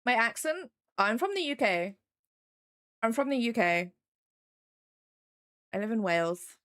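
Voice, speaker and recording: A woman's voice: on the high side, sing-song, measured pace, fairly bright tone, dry recording, studio quality. A young English woman with a faint Midlands accent, chatting casually with her live-stream chat while she draws, rambling and self-deprecating. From England.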